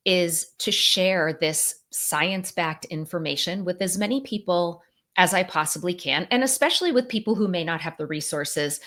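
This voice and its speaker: A middle-aged woman explains psychology on a podcast in a lively, conversational way. Her voice sits somewhat low for a woman, and she peppers her talk with casual fillers. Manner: animated, conversational, many "like" and "you know" fillers. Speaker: psychologist and author, guest.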